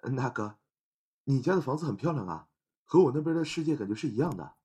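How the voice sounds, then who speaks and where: A man's voice: medium pitch, expressive, quick pace, mellow, breathy edge. A man playing a polite, amiable young man in an audio drama. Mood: friendly.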